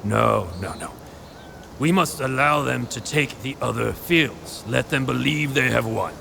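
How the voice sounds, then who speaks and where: An actor playing a cautious, stubborn townsman in an audio drama, speaking with emphatic oratory and a gravelly voice. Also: mid-range voice, a little slow, bright, forceful tone, a little hiss or hum, exaggerated voice. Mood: insistent, grim. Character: cautious, stubborn townsman urging appeasement and survival.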